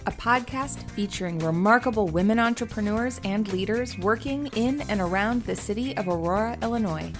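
A woman reading a scripted podcast intro in a smooth delivery. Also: medium pitch. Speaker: show intro announcer. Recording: voice over clear background music.

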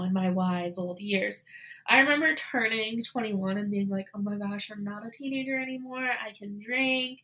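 A woman in her twenties hosting a solo podcast, talking casually with lots of fillers and animated asides. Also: medium pitch, low-quality recording. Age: 20s. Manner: chatty, frequent "like" and "you know" fillers; animated, voices her own past thoughts. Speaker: podcast host.

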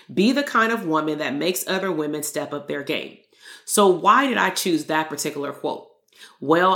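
A woman's voice: low-pitched, echoey room. A woman hosting a solo motivational podcast as a therapist coach, talking earnestly and repeating key lines for emphasis. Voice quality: loud voice.

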